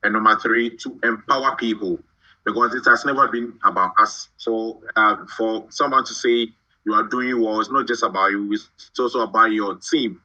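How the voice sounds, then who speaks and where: Man, from Ghana, podcast guest, halting speech, somewhat low pitch, tense bright tone. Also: fairly even intonation, highs cut off. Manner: halting, repeats words while thinking. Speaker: guest, shoe brand founder. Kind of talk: podcast interview.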